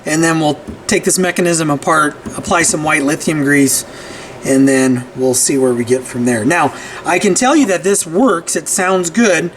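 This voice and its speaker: A man's voice: on the high side, steady tone, moderate pace, a little hiss or hum. Chatty man explaining a repair at his bench. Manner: conversational, frequent "right?" and restarts. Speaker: repair channel host. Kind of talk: casual repair tutorial. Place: workbench room.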